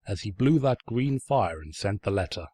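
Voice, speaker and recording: Casting: male story narrator, raspy, mock-pompous and sardonic, very dry close recording. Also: fairly low pitch, animated, moderate pace, a little mellow, no room sound. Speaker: narrator, fan fiction reader. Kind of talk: theatrical scripted story narration.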